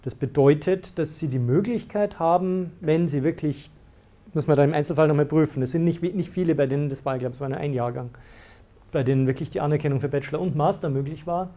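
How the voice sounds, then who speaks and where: Lecturer guy explaining rules to students, a bit high voice, lots of ums. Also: quick pace, dark tone. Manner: hesitant, many fillers and restarts, laughs at times. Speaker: university lecturer answering student questions. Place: lecture room.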